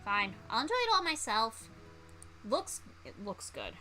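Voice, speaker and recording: A woman's voice: fairly high pitch, huge pitch swings, a little slow, bright, forceful tone, soft background music, exaggerated voice. A woman voicing a fussy, grumpy male dog professor who judges cooking in a visual novel, theatrical and indignant.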